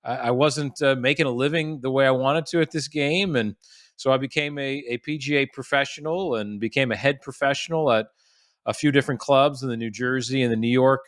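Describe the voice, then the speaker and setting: Man, 40s, from the US, relaxed rambling guest storyteller, mid pitch, dry close mic. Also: a little fast, no room sound. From United States. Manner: chatty storyteller with frequent restarts and fillers. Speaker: guest, golf professional. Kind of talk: casual podcast interview.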